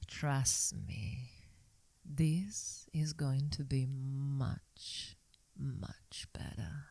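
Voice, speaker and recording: A woman playing a seductive, dominant vampire mistress in an ASMR hypnosis roleplay, whispering slowly and breathily with a teasing, coaxing, commanding manner. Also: deep voice, mellow, dry recording, exaggerated voice. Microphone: close microphone in a dry space.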